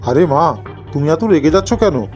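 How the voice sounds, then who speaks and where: An older village patriarch acted by a man in a cartoon folk tale. His voice sits fairly high for a man and he advises in a measured, paternal way, with light music behind him. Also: expressive, a little mellow, lightly acted. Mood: calm, concerned. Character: older village father and sage-like holy man, calm, advising. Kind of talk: Bengali cartoon moral-tale character acting.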